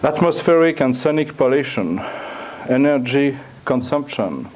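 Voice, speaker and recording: Middle-aged male, French accent, unhurried academic lecture, hesitant and repetitive phrasing. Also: medium pitch, lively intonation, a little mellow. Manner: halting, repeats words while searching for phrasing. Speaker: guest lecturer. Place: university lecture room, indoors.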